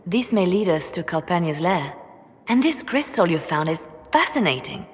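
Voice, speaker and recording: A woman voicing a poised, businesslike advisor in a fantasy video game, with a measured, formal delivery. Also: fairly low pitch, sing-song, slightly rough. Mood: serious briefing. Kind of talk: video game cutscene briefing.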